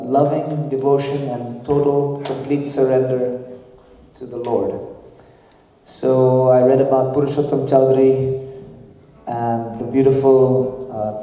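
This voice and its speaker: A man in his forties with a slight Nepali accent, a band leader chatting casually from a church stage with relaxed, halting speech. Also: medium pitch. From grew up in Nepal. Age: 40s. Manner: relaxed, halting, many fillers. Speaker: band leader introducing the group. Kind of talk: casual stage talk to a congregation. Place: large church hall. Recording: echoey hall from far off.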